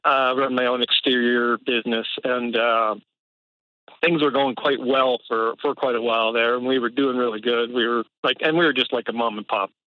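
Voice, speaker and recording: A middle-aged man calls into a show and tells his story haltingly, full of fillers and restarts. His voice sits in a middle range. The line sounds dull and dry, like a phone call. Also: mid-range voice, steady tone, dry recording. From Canada. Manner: hesitant, many fillers and restarts, nervous laughs. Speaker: caller. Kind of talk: casual phone-in conversation.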